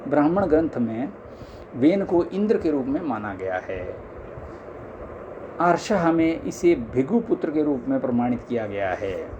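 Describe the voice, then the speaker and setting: A man's voice: medium pitch, very animated, measured pace, dull audio, noisy background. A man formally reading scholarly religious text aloud, now and then stumbling, in an amateur recording. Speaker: reader of a scripture audiobook.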